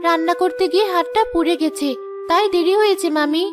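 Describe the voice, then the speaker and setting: A woman's voice: very high-pitched, brisk, background noise. A woman voicing a meek, sweet, overworked orphan girl with a plaintive, polite manner in a cartoon. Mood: pleading, sad. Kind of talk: cartoon moral-tale dialogue.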